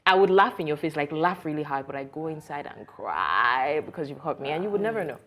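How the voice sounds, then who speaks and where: A young woman speaking earnestly and softly as a panelist in a TV studio chat. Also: low voice, animated, fast, slight background noise. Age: young adult.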